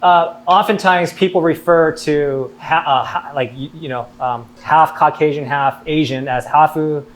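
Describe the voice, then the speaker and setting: An American man hosting a casual interview, halting as he phrases his questions and affirming his guests. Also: fairly high pitch, slight background noise. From Los Angeles area, United States. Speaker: host.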